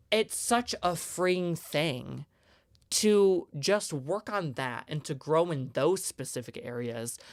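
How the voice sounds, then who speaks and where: A man's voice: a bit bright, clear, resonant tone, no room sound, clean studio sound. Earnest young man ranting on his podcast. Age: 20s. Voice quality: higher-pitched voice. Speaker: podcast host. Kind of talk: solo podcast monologue.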